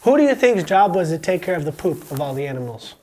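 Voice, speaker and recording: A man's voice: a little high, sing-song, quick pace, a little mellow, a little hiss or hum. American man hosting a student podcast. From Romania. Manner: encouraging, asks quiz questions, jokey. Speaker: podcast host. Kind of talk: casual interview with a student.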